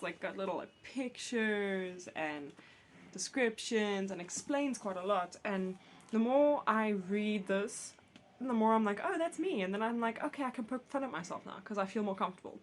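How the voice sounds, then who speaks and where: A woman's voice: mid-range voice, a little hiss or hum. A woman vlogger talking casually to camera about books, a bit phlegmy from a cold, candid and chatty with restarts. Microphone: camera mic, reading with books on her lap.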